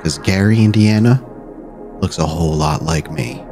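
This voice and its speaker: A man's voice: deep voice, normal speed, over music. A man voicing a dead vampire sire speaking from a mirror in a tabletop roleplay, needling and grandiose, pressing with rhetorical questions. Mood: challenging.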